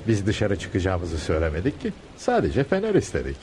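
A man playing a serene, pious dervish master in Turkish radio theatre, solemn, measured and gently commanding. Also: low voice, wildly swooping pitch. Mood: calm authority. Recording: noisy radio drama recording.

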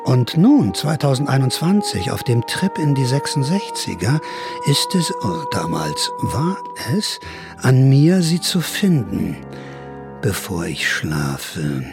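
A man's voice: mid-range voice, very animated, takes it slowly, gravelly, over music, full character voice. A man acting a sardonic, rambling veteran of the sixties counterculture who narrates a radio drama as an amateur sleuth, wry and theatrical. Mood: wry musing. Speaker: narrator and investigator.

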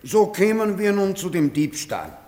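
A man's voice: sits high, big pitch swings, measured pace, a little mellow. A male actor playing a slick, self-important defence lawyer in a theatrical German radio play, unctuous and pointed in a reverberant hall. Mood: pompous. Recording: long reverberant hall sound.

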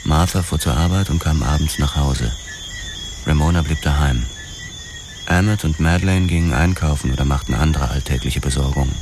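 An actor playing a brooding police detective who narrates his own crime story in a measured way in a radio play. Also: very deep voice, background noise. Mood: measured, tense. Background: music.

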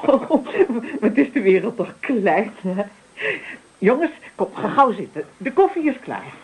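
A woman's voice: medium pitch, very animated, unhurried, a little mellow. An actress playing a middle-aged farmer's wife in a Dutch radio play, motherly, chiding and keeping the peace. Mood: welcoming, fussing. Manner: chiding, placating. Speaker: actor. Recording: faintly noisy radio drama recording.

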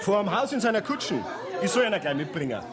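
A man's voice: high-pitched, sing-song, quick pace. An actor playing an officious, flustered male bank messenger in a German radio drama. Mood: officious, urgent. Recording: busy crowd in a large room.

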